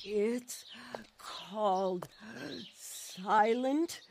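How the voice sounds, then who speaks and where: Very slow, halting stroke-impaired woman. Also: mid-range voice, a little mellow, slight background noise. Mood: subdued, labored. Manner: halting speech, word by word. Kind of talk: radio drama acting.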